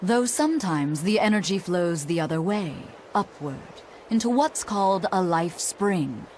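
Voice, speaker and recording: An actress playing a learned, unhurried woman scholar of ancient lore in a video game, speaking in a measured way. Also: lowish voice, expressive, darkish tone, a little hiss or hum. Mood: composed. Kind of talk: expository video game voice acting.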